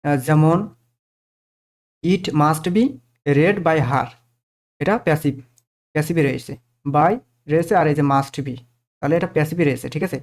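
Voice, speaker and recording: A man's voice: fairly high pitch, measured pace, pauses often, a little mellow. A man teaching grammar step by step to viewers, explaining each rule and often checking understanding with short tag questions.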